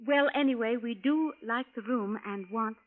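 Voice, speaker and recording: An actress playing a polite, gushing young wife from the future, prim and formal in 1940s radio style. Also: female voice, fairly high pitch, normal speed, natural voice. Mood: gushing, flustered. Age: 20s. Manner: prim, formal, precise, few contractions. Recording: narrow, muffled vintage radio recording.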